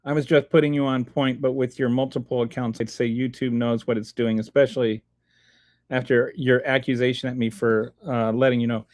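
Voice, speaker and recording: A man's voice: mid-range voice, level tone, fairly quick, dry recording, clean studio sound. A middle-aged man, casually chatting on a livestream and reading viewer comments, rambling with many restarts and fillers. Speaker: livestream host.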